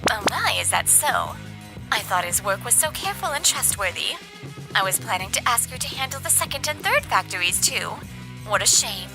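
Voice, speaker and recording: A female business client from a manga dub, acted by a woman, courteous on the surface and blunt underneath. Her voice is fairly high and lively in its swings, over light music. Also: moderate pace. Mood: polite, regretful. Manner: politely cutting. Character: polite but blunt female factory client. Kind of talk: dramatized manga story dub.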